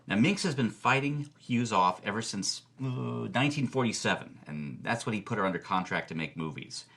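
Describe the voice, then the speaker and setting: Man acting film-history expert, gossipy, theatrical, lowish pitch, animated swooping delivery, podcast storytelling. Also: a little fast. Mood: dramatic. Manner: theatrical, asks and answers own questions. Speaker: expert guest.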